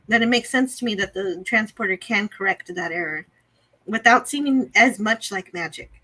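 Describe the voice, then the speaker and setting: A woman's voice: medium pitch, pushed and bright, low-quality recording. A woman co-hosting a review show, talking through an episode casually in an analytical, explanatory way. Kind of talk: casual episode recap and discussion.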